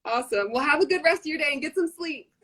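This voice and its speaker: A woman's voice: sits high, expressive, fast, sharp tone. A young woman hosting a casual fan livestream on a phone or webcam, bubbly and gushing, with lots of "like"s.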